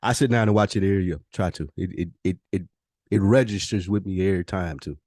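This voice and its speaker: A man's voice: low voice, fast talker, darkish tone, dry recording, studio quality. An American man hosting a podcast in a casual, slangy, laid-back way, swearing freely. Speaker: podcast host. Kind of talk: casual podcast chat and show intro.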